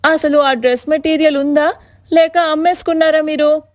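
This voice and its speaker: A woman's voice: sits high, steady tone, moderate pace, dry recording, studio quality, lightly acted. A woman voicing an angry, taunting village customer in a cartoon moral story, scolding sarcastically. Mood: indignant complaint. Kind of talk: animated moral story dialogue.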